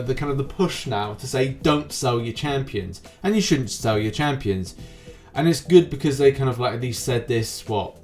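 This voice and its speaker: A man presents gaming news as a show host, with a medium-pitched voice. His commentary is wordy and rambling, delivered like an online video presenter. Music plays behind him. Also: a little mellow. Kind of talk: YouTube gaming news commentary, semi-scripted. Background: background music.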